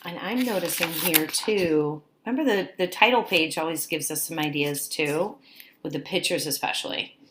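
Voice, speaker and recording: A woman teacher reading a picture book aloud to children, expressive and coaxing, pausing to put questions to her young listeners. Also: sits low, a little hiss or hum.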